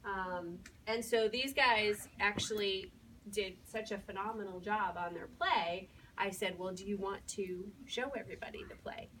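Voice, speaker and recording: A woman's voice: medium pitch, fairly even intonation, a little slow, a bit bright. A woman speaking as a first-grade teacher, explaining things informally to an audience in a step-by-step way. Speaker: first-grade teacher presenting student work. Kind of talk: informal spoken presentation to an audience. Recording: distant mic, faint room noise.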